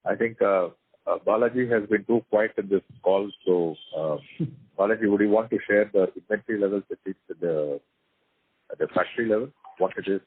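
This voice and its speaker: Indian boss guy answering investor questions off the cuff, lowish rough voice, dry phone line. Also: brisk, no echo. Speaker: company executive leading an earnings call. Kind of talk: informal, unscripted business answers.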